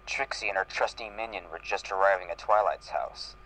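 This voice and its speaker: A man's voice: deep voice, brisk, a bit bright, slight background noise, low-quality recording. A man reading fan fiction aloud in a live group reading. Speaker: reader in a group comedy reading.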